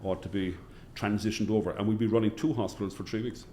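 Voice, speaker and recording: Man, Cork Irish accent, middle-aged, lowish voice, measured interview answers, faint rumble. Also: fairly even intonation, mellow. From Cork, Ireland. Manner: measured, repeats phrases for emphasis. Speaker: hospital chief executive.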